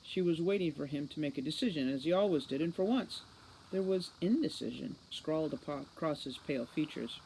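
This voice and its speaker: A woman reading an audiobook novel aloud in an expressive storytelling style. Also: sits low, a little fast, low-quality recording. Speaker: audiobook reader. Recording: faint background noise.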